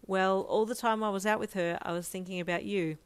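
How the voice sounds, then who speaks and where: A woman voicing a shy, earnest young man in a romance story, soft-spoken and hesitant, apologetic as he speaks. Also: mid-range voice, steady tone, fairly quick, clear, resonant tone, no room sound, close to an everyday voice.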